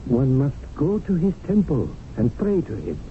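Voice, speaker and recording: Wry, deferential servant-narrator, tinny radio. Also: male voice, fairly high pitch, sing-song. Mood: solemn, cunning. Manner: formal, flowery, measured phrasing. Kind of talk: 1970s radio drama narration and acting. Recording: noisy narrow-band old radio broadcast.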